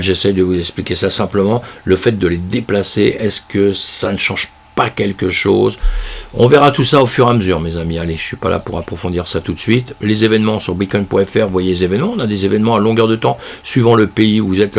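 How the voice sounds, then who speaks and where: A man with a cold, hoarse and congested, commenting casually on crypto news in a rambling, chatty way, with lots of fillers and restarts. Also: low-pitched. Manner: chatty, addresses viewers as friends.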